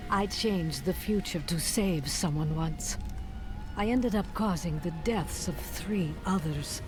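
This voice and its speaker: A woman's voice: fairly low pitch, slow. A woman playing a regretful, guarded seer in a fantasy video game, speaking earnestly. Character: guarded, regretful female seer who knows the future. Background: music.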